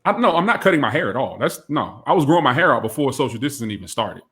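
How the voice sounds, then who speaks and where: A man in his thirties co-hosting a casual panel chat, animated and laughing, his voice jumping up when he jokes. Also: rapid-fire. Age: 30s. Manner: animated, laughing, jumps high in pitch when joking. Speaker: co-host.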